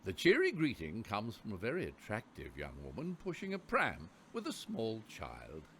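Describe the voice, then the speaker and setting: A man narrating a radio play in a wry, theatrical storyteller's manner with deliberate emphasis. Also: on the low side, wildly swooping pitch, a bit bright, husky.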